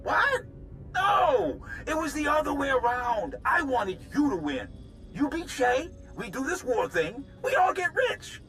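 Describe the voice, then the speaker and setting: Shrill, panicky double-dealer. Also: male voice, big pitch swings, measured pace, pushed and bright, exaggerated voice. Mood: panicked pleading. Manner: flustered, wheedling, over-eager. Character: panicked, greedy, double-dealing male profiteer making excuses. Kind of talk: video game voice acting. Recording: music under the voice.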